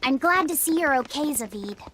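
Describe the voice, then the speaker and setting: An actress playing an earnest, gentle young boy in a game. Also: high voice, animated, fairly quick, slight background noise.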